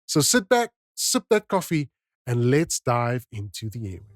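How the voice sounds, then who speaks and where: A man's voice: medium pitch, sing-song, unhurried, dry recording, clean studio sound. A man hosting a podcast, reading scripted narration in a dramatic storyteller's manner. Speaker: podcast host.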